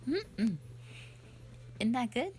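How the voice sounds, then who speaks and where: A woman's voice: a little high, very animated, very slow, darkish tone, faint music behind, full character voice. A woman playing a rambling, easygoing, flirty magic mentor in a fantasy roleplay audio drama, talking in a hesitant, offhand way full of asides. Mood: easygoing, inviting.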